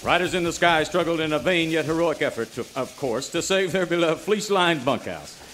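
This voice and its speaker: An actor playing a solemn, melodramatic announcer narrating a parody old-time radio serial. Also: male voice, fairly high pitch, fairly quick, fairly bright tone, slight background noise, close to an everyday voice. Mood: grave, dramatic.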